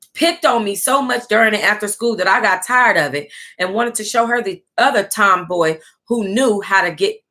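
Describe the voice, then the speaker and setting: An American woman hosting an online book club, reading aloud casually in an animated, salty-tongued way and breaking off to react. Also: medium pitch. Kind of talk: casual livestream read-aloud with running reactions.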